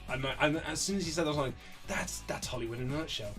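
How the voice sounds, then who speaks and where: A man's voice: fairly high pitch, rapid-fire, fairly bright tone. A man being interviewed as an author, chatting casually in an anecdotal way, acting out quotes and swearing mildly. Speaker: interview guest, author and writer. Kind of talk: casual animated interview chat. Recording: lo-fi amateur setup, music underneath.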